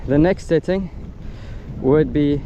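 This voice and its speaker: Clear outdoor noise sits behind a man with a slightly high-pitched voice. He talks casually, like a reviewer walking through a product's features step by step. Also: takes it slowly. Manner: explanatory, step by step. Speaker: gadget reviewer. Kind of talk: casual hands-on product demonstration. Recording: loud wind and outdoor ambient noise.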